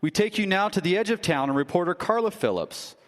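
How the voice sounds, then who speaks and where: An actor playing a radio news announcer in a live audio drama, speaking in formal newscaster style. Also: male voice, sits high, animated, a little fast, long reverb tail.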